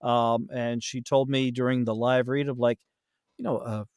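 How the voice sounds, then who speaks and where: A man's voice: medium pitch, flat delivery, moderate pace, clear, resonant tone, no room sound, studio quality. A man chatting casually as a podcast guest, telling anecdotes and acting out the remarks he quotes.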